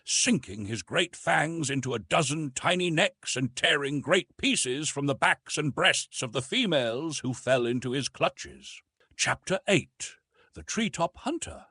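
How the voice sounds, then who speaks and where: A man reads an adventure story with dramatic flair, his pitch rising and falling widely. His voice is mid-pitched with a husky grain, and the recording is close and dry. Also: a bit bright, no room sound. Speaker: audiobook narrator. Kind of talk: scripted narration of an adventure novel.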